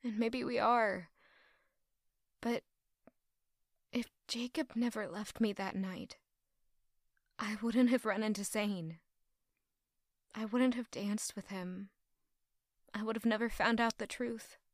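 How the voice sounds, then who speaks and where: A woman playing a conflicted, loyal young woman in love in an audio drama, with emotional, deliberate delivery. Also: on the high side, steady tone, dry recording, studio quality. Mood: pensive, troubled. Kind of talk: dramatic audio drama acting with first-person narration.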